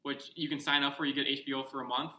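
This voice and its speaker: A man's voice: mid-range voice, flat delivery, quick pace, pushed and bright, echoey room, a little hiss or hum, low-quality recording. A young man co-hosting a casual podcast, rambling and joking, asking lots of questions. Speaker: podcast co-host. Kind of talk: casual chat.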